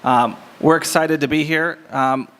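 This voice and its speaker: A man with a booming American accent giving a candid, informal public address as a diplomat. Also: fairly even intonation, a little slow, fairly bright tone. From United States. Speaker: embassy diplomat, guest speaker. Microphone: lectern microphone. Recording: echoey reverberant hall.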